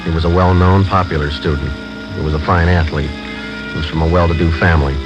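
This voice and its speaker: An actor playing a calm, businesslike police sergeant who speaks in clipped, matter-of-fact lines in a vintage radio crime drama. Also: male voice, deep voice, brisk, natural voice. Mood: deadpan, factual. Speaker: narrator and detective. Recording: muffled old radio drama, music.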